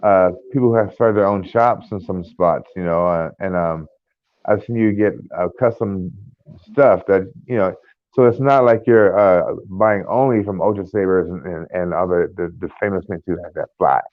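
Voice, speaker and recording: Low-voiced American man on a tinny line. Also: no echo. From United States. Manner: rambling, halting, many fillers and restarts. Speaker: podcast co-host. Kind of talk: casual chat.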